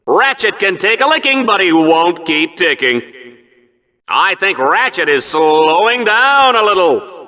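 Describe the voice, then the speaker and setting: A manic combat-sport announcer for a video game. His voice is high and very bright, built for forceful hype. He draws out his words theatrically at a slow, milked pace, relishing the carnage like a gleeful showman. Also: big pitch swings, full character voice. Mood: mock concern. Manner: bombastic, theatrical, drawn-out exclamations. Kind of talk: over-the-top sports commentary.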